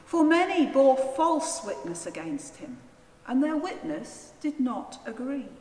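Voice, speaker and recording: A woman narrating a dramatised scripture reading in a solemn, deliberate manner. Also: sits high, big pitch swings, a little mellow. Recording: echoey church, long reverb, faint noise.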